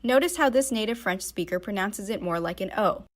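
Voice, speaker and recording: A woman's voice: medium pitch, animated, a little fast, noisy background. A young adult woman reading a scripted narration for a student slideshow presentation. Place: voice-over recorded for slides.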